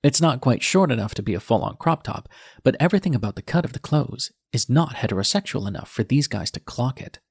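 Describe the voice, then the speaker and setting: A man's voice: medium pitch, lively intonation, fast, gravelly, a little mellow, breathy edge, dry recording, studio quality. A man narrating an audiobook in the first person as a defiant, bitter young male nurse, with a sardonic, edgy manner. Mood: wry, bitter.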